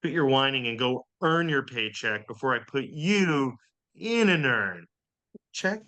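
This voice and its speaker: A man's voice: medium pitch, lively intonation, unhurried, pushed and bright. A man playing a belligerent, foul-mouthed agency superior in a comedy table read, haranguing crudely and aggressively. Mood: belligerent, scornful.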